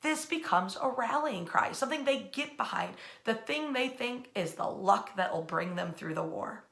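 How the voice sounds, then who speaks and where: An American woman narrating history as a dramatic story, with emphatic, theatrical phrasing and deliberate pauses. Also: a little high, lively intonation, gravelly, fairly bright tone.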